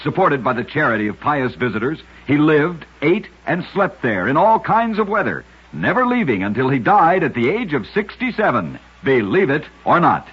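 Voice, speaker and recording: A man narrating short scripted stories for radio in a measured, dramatic storyteller style. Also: on the high side, big pitch swings. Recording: muffled vintage-style radio recording.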